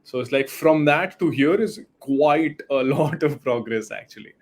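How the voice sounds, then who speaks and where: A man in his late twenties, a guest on a casual podcast, talking with quick, enthusiastic bursts. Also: mid-range voice. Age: 20s.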